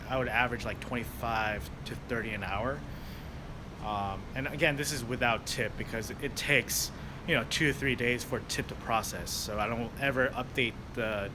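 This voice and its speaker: Young American man, casual livestream host, rambling and easygoing, street traffic behind him. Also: mid-range voice. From United States. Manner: rambling, loose, lots of fillers and restarts. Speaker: delivery driver livestreamer. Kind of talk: casual livestream chat answering viewers. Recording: noisy outdoor street background. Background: traffic and street noise.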